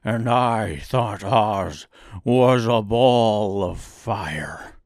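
A man voicing a big, anxious lion in a storybook, with a heavy, drawn-out delivery. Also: lowish voice, a little mellow, no room sound, studio quality. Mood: bewildered. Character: Cowardly Lion, big slow anxious beast.

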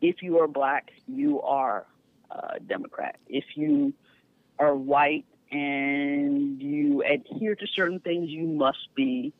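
A middle-aged woman, a podcast guest, telling candid stories in a frank, lively way with easy laughter and a neutral accent. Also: low-pitched, takes it slowly, no echo. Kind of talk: candid storytelling. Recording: tinny phone-line sound, dry.

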